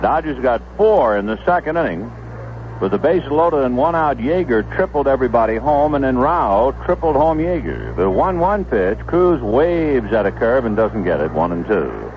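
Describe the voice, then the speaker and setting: A male baseball announcer calls the game in measured, descriptive phrases. His pitch rises and falls freely over a muffled old radio broadcast with faint noise behind him. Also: big pitch swings. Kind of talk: live radio sports commentary. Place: stadium broadcast booth.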